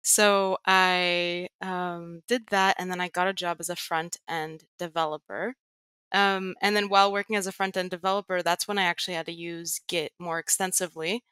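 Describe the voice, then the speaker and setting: A young woman with a bright, crisp voice sits lower in pitch than most, her slight American accent smooth and unforced. She talks in a measured way, pausing on little ums as she thinks, heard dry and close through a headset on a video call. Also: no echo. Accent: American-leaning international. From Greece. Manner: measured, with frequent um pauses. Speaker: guest, tech writer and educator. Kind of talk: casual interview talk. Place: home room with plain wall. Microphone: headset over video call.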